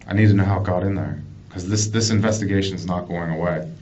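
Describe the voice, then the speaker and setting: Low-voiced American male detective, muffled noisy recording. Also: steady tone, fast talker, a little mellow. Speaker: police detective conducting an interrogation. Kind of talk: investigative interview. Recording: muffled, noisy police interview room recording.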